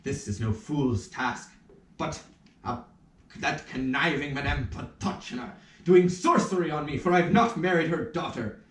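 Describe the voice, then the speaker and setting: A man's voice: a little high, measured pace, lightly acted. A man playing a flustered, pompous, self-important minor official in a theatrical puppet show, stammering with indignation. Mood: anguished. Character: flustered, pompous minor official who lost his nose. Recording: roomy, distant rehearsal room recording.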